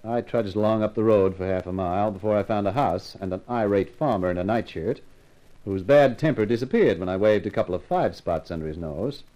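An actor playing a tough, cocky private eye with slangy, hardboiled delivery in a 1950s radio crime drama. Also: male voice, fairly low pitch, a little fast, mellow, dull audio, soft background music, close to an everyday voice. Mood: wry narration. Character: tough, wisecracking, confident male private eye. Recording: vintage 1950s radio recording.

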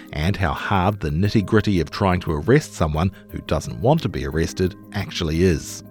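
A man reading a long-form news feature in a measured, articulate scripted narration style. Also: sits low, darkish tone.

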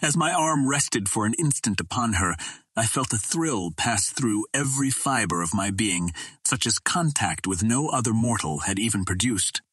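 Male narrator for classic adventure fiction: lowish, slightly rough voice, measured and earnest, recorded dry. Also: expressive, a bit bright, no echo. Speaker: audiobook reader. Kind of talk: scripted first-person narration of an adventure novel.

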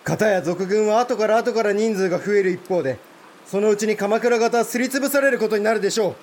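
A man's voice: high-pitched, quick pace, a little mellow, slight background noise, close to an everyday voice. A man playing a proud, blunt provincial samurai lord in a Japanese historical audio drama, speaking formal warrior speech. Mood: frank.

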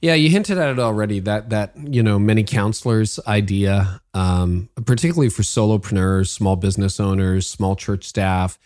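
A man's voice: lowish voice, normal speed, few pauses, a little mellow, no room sound, clean studio sound. A man hosting a podcast interview, asking curious, conversational follow-up questions. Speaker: podcast host.

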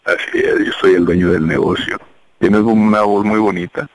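A mechanic from Jalisco, answering a phone call in a relaxed, guarded-then-friendly way. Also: male voice, fairly low pitch, moderate pace, dry recording. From Jalisco, Mexico. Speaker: mechanic, prank target.

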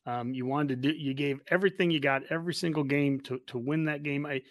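Higher-pitched man talking sports. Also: steady tone, fast, dry recording. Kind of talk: casual sports chat.

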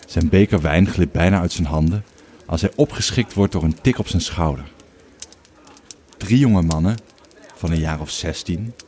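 A man with a very deep voice narrates a scripted story. His tone is dark and mellow, and he delivers each line in a measured way.

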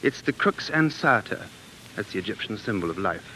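A man's voice: on the low side, very animated, a little fast, sharp tone, a little hiss or hum, heavily acted, low-quality recording. A man playing an earnest, dreamy American living in London, speaking intensely and musingly in 1940s radio drama style. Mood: wistful, fascinated. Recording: 1940s radio broadcast, faint music.

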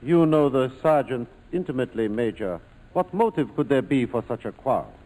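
An actor playing a courteous, quietly probing police lieutenant in 1940s radio drama style, polite and deliberate. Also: male voice, medium pitch, lively intonation, long reverb tail, lightly acted, poor audio. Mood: politely probing. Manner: polite, deliberate, feigned absent-mindedness.

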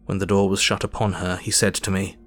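A man playing a male doctor who narrates his own journal in a measured, sober way. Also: sits low, little pitch movement, natural voice. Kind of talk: audio drama narration.

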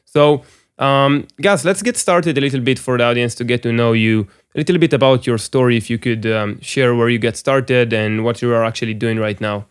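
A man's voice: mid-range voice, brisk, clear, resonant tone. A man hosting a podcast interview, talking casually with frequent fillers and restarts. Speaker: podcast host.

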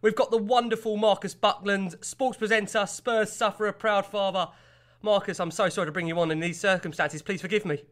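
A man hosting a football podcast in a casual, welcoming way. His voice sits high and sounds bright and forward. He sounds a little exasperated. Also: fairly even intonation, fairly quick. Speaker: podcast host.

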